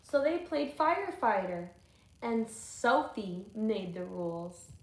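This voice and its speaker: Unhurried woman reading aloud in an echoey room. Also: medium pitch, big pitch swings. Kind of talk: storybook read-aloud with asides to children. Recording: echoey room with faint noise.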